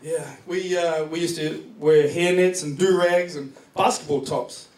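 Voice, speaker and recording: A man's voice: a little high, steady tone, unhurried, darkish tone. A man with a New Zealand accent performing a stand-up comedy set, telling joking stories with plenty of swearing. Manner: profane, joking storytelling. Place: comedy club stage before a live audience. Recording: distant echoey club recording, noisy laughing crowd.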